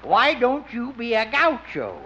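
A man's voice: very high-pitched, huge pitch swings, measured pace, bright, forceful tone, exaggerated voice. An actor playing a cheerful, meddling friend called Doc, folksy and chuckling as he gives advice. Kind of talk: 1950s radio comedy acting. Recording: muffled old radio broadcast with hiss.

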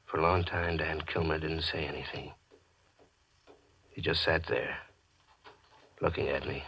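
Very deep, husky doomed gambler. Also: male voice, steady tone, unhurried, fairly bright tone, full character voice. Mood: grim, subdued. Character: doomed male gambler, grim and haunted, narrating his end. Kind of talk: 1940s radio drama acting. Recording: dull old radio recording.

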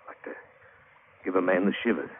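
A man's voice: low-pitched, a little fast, natural voice. An actor playing a plain-spoken British sailor-diver who tells his grim adventure colloquially, in old radio drama style. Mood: grim, tense. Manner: colloquial old-salt storyteller with rustic grammar. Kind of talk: 1940s-50s radio drama acting and narration. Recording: muffled, narrow-band, hissy old radio broadcast.

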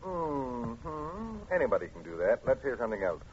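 An actor playing a cool, scheming crook, terse and probing, in an old radio drama. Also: male voice, medium pitch, sing-song, normal speed, lightly acted. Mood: cool, sizing up. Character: cool, scheming male crook, sly and controlling. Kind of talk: 1950s radio drama acting. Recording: muffled, hissy old radio recording.

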